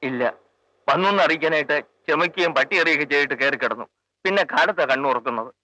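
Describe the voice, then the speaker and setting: A man's voice: a little high, fast talker, bright, forceful tone, rough recording. An older actor playing a frightened old male servant being questioned, in a 1980s film drama with a pleading manner. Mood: nervous.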